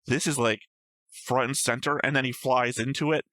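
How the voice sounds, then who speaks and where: A man's voice: medium pitch, fairly even intonation, moderate pace, bright, forceful tone, dry recording. A man co-hosting a reaction show, talking through his notes with a dry, analytical manner. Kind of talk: casual episode discussion reading own notes.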